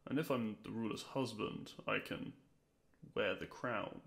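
Low, creaky, grumpy dragon played by a man. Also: unhurried, fairly bright tone. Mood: musing, tempted. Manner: exasperated, dry complaining. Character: grumpy tsundere male dragon, young-looking, weary, secretly fond. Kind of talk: audio roleplay, spoken to the listener.

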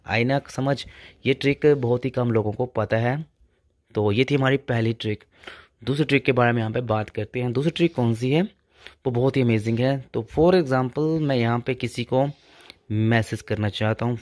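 A man's voice: medium pitch, no echo, clean studio sound. A young man hosting a tech channel, walking through phone features step by step and leaning on the same filler phrases over and over. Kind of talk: casual phone tutorial.

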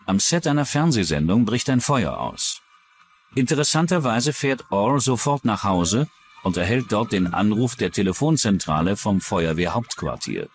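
Low-voiced male documentary narrator. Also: very animated, moderate pace, a little hiss or hum. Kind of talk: scripted true-crime documentary narration.

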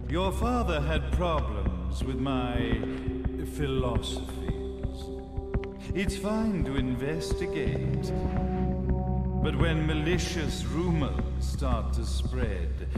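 Slow, grandiose villain sage in echoing hall. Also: male voice, medium pitch, big pitch swings. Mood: mocking, coolly menacing. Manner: pompous, theatrical, deliberate phrasing. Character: grandiose villainous male sage, coolly menacing zealot. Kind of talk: dramatic video game villain monologue. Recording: echoey hall, long reverb, music behind.

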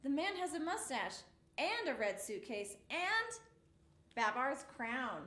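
A woman in her thirties reads a picture book to little children, her high voice bouncing all over with expression. The sound rings a bit in the small studio, picked up from across the room. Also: slow, reverberant. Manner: expressive, exclamations for the story. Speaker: storytime reader. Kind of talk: reading a picture book aloud to young children. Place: small indoor studio with a rainbow mural.

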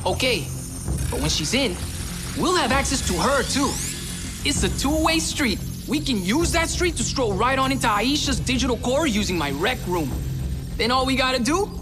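An eager young woman hacker from a video game. Her voice is high and very animated, with a brash, informal way of talking and music underneath. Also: moderate pace. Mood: eager, scheming. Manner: informal, excitable.

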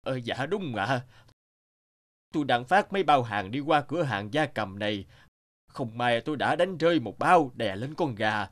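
A man voicing a meek, anxious porter in an animated folk tale, hesitant and deferential. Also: mid-range voice, normal speed, lightly acted. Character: meek, anxious porter pleading his case.

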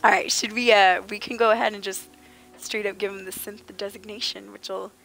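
A woman streaming a game, slightly raspy and under the weather, chatting playfully with viewers and joking in mock outrage. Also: mid-range voice, steady tone, fairly quick, fairly bright tone, faint music behind, poor audio. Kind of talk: casual gaming chat with viewers.

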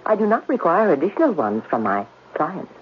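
A woman's voice: on the low side, very animated, brisk. An actress playing a stern, haughty woman who speaks formally and condescendingly in a 1970s radio drama. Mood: cool, menacing. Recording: dull, noisy vintage radio recording.

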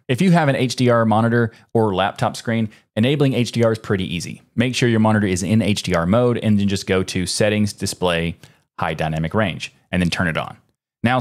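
A man in his thirties with a fairly low voice hosts a tech explainer. He moves between scripted explanation and casual personal asides. He speaks into a close broadcast mic in a small home studio. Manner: conversational, with chatty personal asides. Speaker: tech video host. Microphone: close broadcast mic on boom arm.

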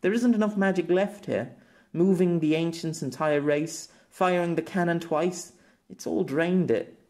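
A man's voice: fairly high pitch, unhurried, a little mellow, pitched above the performer's own voice. A man voicing an earnest, apologetic fantasy woman in an audiobook, explaining and pleading as she says farewell. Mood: pleading, wistful.

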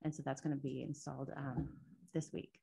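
A woman project manager giving a project update at a city council meeting, soft-spoken and hesitant with frequent ums, speaking into a table microphone in a council chamber. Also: a bass voice, level tone, brisk, gravelly, husky. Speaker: state transportation project manager. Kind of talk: public meeting presentation.

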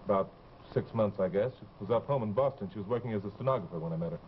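A man's voice: on the low side, racing, lightly acted. An actor playing a worried, insistent fiancé in his thirties, blunt and urgent, in 1950s television drama style. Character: insistent, worried young fiancé demanding answers. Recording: dull, noisy old TV soundtrack.

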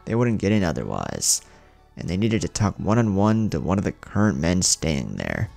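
Deep gravelly male storyteller, lively delivery. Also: normal speed, soft background music. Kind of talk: scripted narration of a fan fiction story.

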